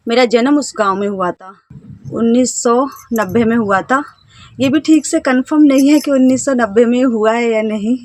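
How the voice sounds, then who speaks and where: A woman in her thirties from India, a housewife telling her life story to camera in a halting, hesitant way. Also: on the high side, a little mellow. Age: 30s. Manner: hesitant, halting, with self-conscious laughs and trailing phrases. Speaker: vlogger, housewife. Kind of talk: casual personal storytelling to camera.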